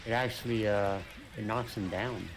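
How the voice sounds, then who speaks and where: A man's voice: on the low side, fairly even intonation, moderate pace. A man chatting casually while playing a co-op game, explaining his points with careful qualifiers. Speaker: co-op gaming partner. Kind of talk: casual gameplay chat. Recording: clear background noise.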